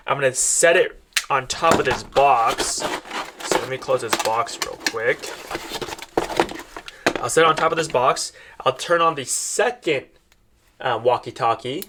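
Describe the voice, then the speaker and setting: A man's voice: mid-range voice, measured pace, background noise. A man casually demonstrating a product to camera, explaining step by step as he addresses viewers. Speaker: product reviewer.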